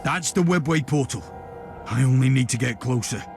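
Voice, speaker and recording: A man acts out a composed inquisitor from a dark sci-fi game. He speaks formally and with measured authority, at a middling pitch. Loud music plays behind him. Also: lightly acted. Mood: confident. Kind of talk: video game cutscene voice acting.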